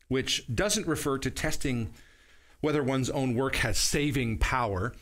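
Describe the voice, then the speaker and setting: A man's voice: medium pitch, a bit bright. A man in his forties preaching a sermon from a small studio set, explaining verses in an earnest teaching tone and checking in with his listeners. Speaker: pastor. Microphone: seated at a table, camera at medium distance.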